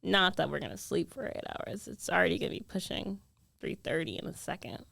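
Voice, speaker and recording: Creaky, intimate lovestruck roommate, acted by a woman. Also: fairly low pitch, fairly bright tone, dry recording, full character voice. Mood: tired, soothing. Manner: hesitant, emotional, intimate delivery with trailing pauses. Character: jealous lovestruck young female roommate, guarded yet tender. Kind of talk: girlfriend ASMR roleplay, intimate monologue.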